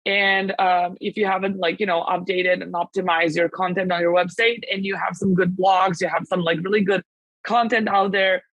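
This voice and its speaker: Fast-talking Serbian woman, dry sound. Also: fairly low pitch, little pitch movement, a bit bright, dry recording, muffled recording. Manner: fast, rambling, many fillers and restarts. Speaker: guest, translation agency founder. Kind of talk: casual podcast interview.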